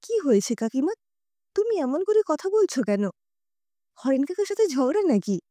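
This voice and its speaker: A woman voicing a curious young mother who questions her neighbors lively. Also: high-pitched, normal speed, no echo, studio quality. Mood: teasing.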